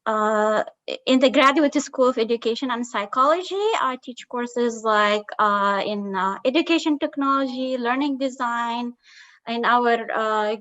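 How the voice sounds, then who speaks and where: A woman's voice: a little high, fairly bright tone. A woman from Palestine, an assistant professor, introducing herself on a call with frequent fillers and hesitations. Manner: halting, many fillers, laughs easily. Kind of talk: self-introduction in conversation. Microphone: video call. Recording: dull lo-fi call audio.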